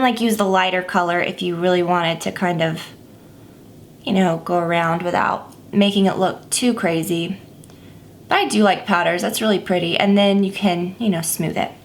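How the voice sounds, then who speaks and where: Woman, makeup vlogger, stuffy and a bit scratchy, chatty, rambling, fillers. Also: mid-range voice. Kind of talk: casual makeup tutorial.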